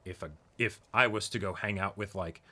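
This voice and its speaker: A man's voice: lowish voice, brisk, sharp tone. A man co-hosting a casual discussion show, thinking aloud in tentative questions and often checking that his listener follows. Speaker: co-host of an anime reaction show. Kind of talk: casual discussion of an anime episode.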